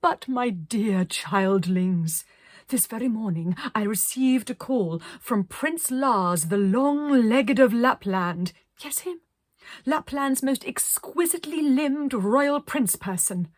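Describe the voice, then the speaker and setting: A woman's voice: fairly high pitch, animated, slow pace, no room sound, studio quality. A woman voicing a flamboyant, dreamy, eccentric woman artist in a children's storybook, with gushing, melodramatic delivery. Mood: grandly dramatic.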